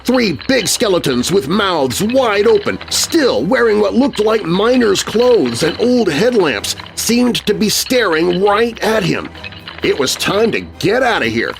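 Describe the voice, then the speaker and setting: A man's voice: high voice, sing-song, measured pace, gravelly, poor audio. A man narrating scripted scary stories for children in a suspenseful, theatrical manner. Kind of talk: dramatic scripted spooky storytelling for children. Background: music bed.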